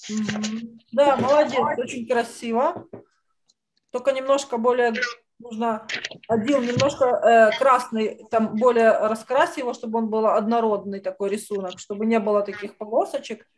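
High-voiced woman teaching drawing, noisy call. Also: steady tone, normal speed. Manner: encouraging, praising. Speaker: art teacher. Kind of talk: online drawing lesson for children. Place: indoors on a video call.

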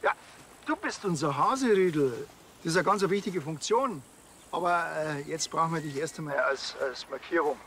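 A man's voice: on the high side, moderate pace, a bit bright, a little hiss or hum, lightly acted. A man with a Bavarian accent playing a dry, bossy, matter-of-fact police officer in a TV crime comedy. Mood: bossy, patient.

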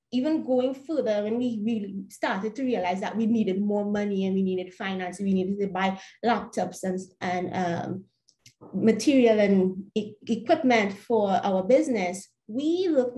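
Young trinidadian woman, unhurried panel answer, earnest, echoey home room, laptop mic. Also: mid-range voice, darkish tone. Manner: earnest, measured, repeats words while searching. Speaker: panelist, startup founder. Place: home room, plain wall. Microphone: laptop webcam video call.

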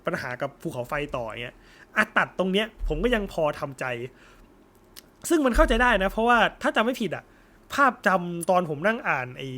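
High-voiced young man hosting casual chat. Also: sing-song, fairly quick, sharp tone. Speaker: host of an anime discussion channel. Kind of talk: casual chat, after-viewing discussion.

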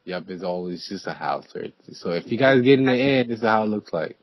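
A man's voice: on the low side, animated, fairly quick, no room sound. Young man joking over a lo-fi mic. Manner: joking, crude banter. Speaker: gamer. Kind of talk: casual gaming chat.

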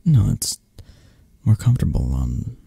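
A man playing a possessive, tender, flirtatious mafia boss in an intimate, soft-spoken ASMR roleplay, murmuring and coaxing with hesitant phrasing. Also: deep voice, sing-song, unhurried, gravelly, slightly rough, dry recording, exaggerated voice. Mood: flirty, coaxing.

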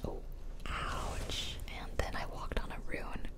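Whispering woman doing ASMR game commentary. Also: slow. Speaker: gaming video host. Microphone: close ear-to-ear stereo mics.

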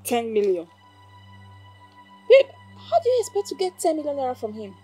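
Swooping, high-voiced Nigerian accomplice. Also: female voice, wildly swooping pitch, normal speed, warm tone, faint music behind. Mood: doubtful, conspiring. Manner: questioning, echoes words back. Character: two-faced female accomplice, hesitant but devoted to her schemer. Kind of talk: conversational film drama acting.

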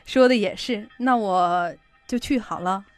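A woman's voice: medium pitch, slow pace, music in the background, close to an everyday voice. An actress in an audio drama playing a chatty, fretful middle-aged mother who sells insurance. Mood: caring, brisk. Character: fussy, protective middle-aged working mother, insurance saleswoman.

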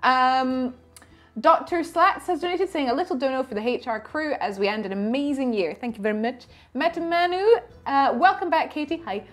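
A woman's voice: high voice, moderate pace. A woman, a regular player on a livestream, chatting casually and reading out donations.

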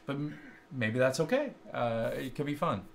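A man's voice: medium pitch, big pitch swings, normal speed, gravelly, a little hiss or hum. A middle-aged man with a Kentucky accent co-hosting a casual tech chat over a video call, upbeat and chuckling often as he explains things. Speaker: co-host. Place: indoor room on a video call. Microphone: wired earbuds on a video call.

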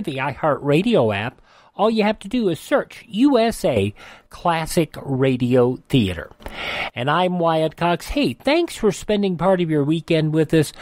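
A man's voice: fairly high pitch, darkish tone, no echo. Dry-sounding American male radio host. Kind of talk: conversational radio presenting. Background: faint music.

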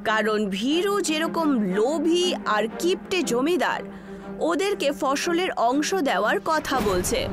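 Female narrator for animated folk tale: high, animated, expressive storytelling over music. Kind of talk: scripted storybook narration for a cartoon. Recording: music behind the voice. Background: background music.

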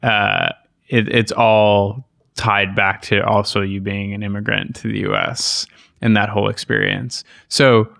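A man hosting a podcast in a small studio on a boom mic, asking relaxed questions and giving brief encouragement. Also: unhurried, vocal fry, dry recording. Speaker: podcast host. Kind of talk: podcast interview.

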